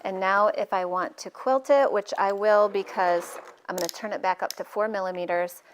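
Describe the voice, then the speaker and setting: A woman's voice: medium pitch, fairly even intonation, normal speed, slight background noise. A middle-aged woman hosting a live sewing tutorial in her studio, chatting casually and joking at her own expense as she works. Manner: chatty, self-deprecating, jokey asides.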